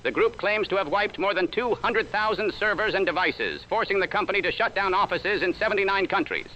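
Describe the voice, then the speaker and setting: A man reporting as a Washington correspondent in a formal, measured 1930s radio newscast style. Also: sits high, lively intonation, pushed and bright. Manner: formal, measured, old-time announcer cadence. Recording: lo-fi vintage radio sound with faint hiss.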